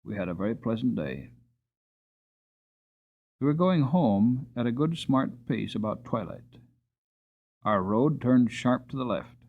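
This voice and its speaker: A man with a husky, mid-pitched voice narrates a classic animal story. His delivery is expressive and dramatic, like an audiobook reader acting out a tale, slowing for weightier passages. The sound is narrow and phone-like. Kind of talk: dramatic storybook narration in a horse's first person.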